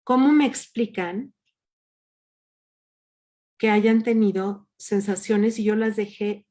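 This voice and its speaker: A woman's voice: mid-range voice, slow, mellow. A woman leading an online workshop, patiently asking her group questions over a video call. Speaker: workshop leader.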